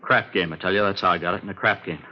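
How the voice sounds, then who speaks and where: An American actor playing a cornered, bitter murder suspect in a vintage radio drama, rough-voiced, defensive and agitated, repeating himself. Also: male voice, low voice, rapid-fire. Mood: defensive denial. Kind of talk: 1950s radio crime drama acting. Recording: dull vintage radio recording.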